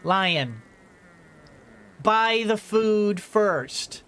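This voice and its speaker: A middle-aged woman hosting a let's-play in casual, rambling style. She talks slowly at a medium pitch, playfully talking to herself, over faint background noise. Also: lively intonation, fairly bright tone. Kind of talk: casual gameplay commentary.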